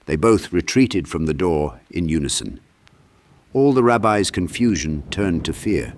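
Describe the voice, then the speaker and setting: A man's voice: sits low, animated, unhurried, no echo. A man reading dramatic scripted horror narration as an audiobook narrator.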